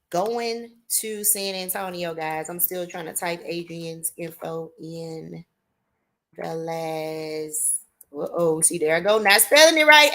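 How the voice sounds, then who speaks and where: A woman hosts a live talk show. She speaks slowly and pumps up the viewers with "y'all" and "queen". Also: medium pitch, fairly bright tone. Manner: hypes the audience with "y'all" and "queen". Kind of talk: upbeat live show hosting.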